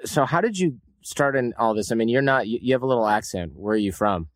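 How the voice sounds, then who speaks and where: A man hosting a podcast, reading a brisk, upbeat promo and interviewing a guest. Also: medium pitch, no echo, studio quality. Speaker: podcast host. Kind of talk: scripted promo read and interview questions.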